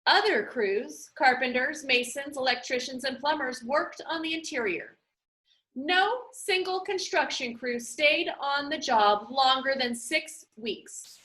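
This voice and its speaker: A woman reading a children's novel aloud as scripted narration, with deliberate, expressive phrasing. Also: high voice.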